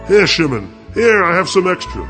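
Generous family man, male narrator's voice, lively lilt, music under. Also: sits high, moderate pace. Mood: kindly. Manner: hearty, reassuring. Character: warm, kindly middle-aged father.

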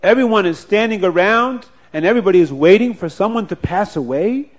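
A man's voice: sits high, lively intonation, brisk, voice pushed up. A man doing an indignant, respected rabbi. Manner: insistent, rallying the crowd.

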